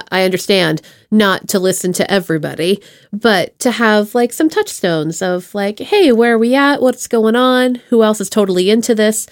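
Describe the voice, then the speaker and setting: A woman's voice: mid-range voice, lively intonation, moderate pace, dry recording, clean studio sound. A woman hosting a podcast in a casual, chatty interview style, enthusiastic and playful as she talks with her guest.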